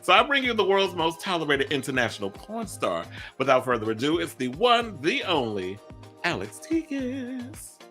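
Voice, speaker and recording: Male host from New York, animated and playful, bright tone, faint music underneath. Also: on the high side, moderate pace. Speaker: interview host. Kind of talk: casual interview chat.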